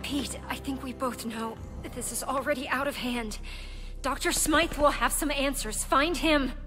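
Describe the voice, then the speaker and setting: Woman playing high-voiced young scientist heroine. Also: normal speed, lightly acted. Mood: grave. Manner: earnest, explanatory. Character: young female scientist ally, smart, candid and brave. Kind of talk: video game voice acting. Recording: clear music behind the voice. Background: game music.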